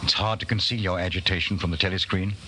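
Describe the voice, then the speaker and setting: Casting: man as deep-voiced, grave storyteller for 1940s radio drama, deliberate and foreboding, noisy old recording. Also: fairly even intonation, close to an everyday voice. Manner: measured, ominous, second-person storytelling. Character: grave male narrator addressing the listener. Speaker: narrator.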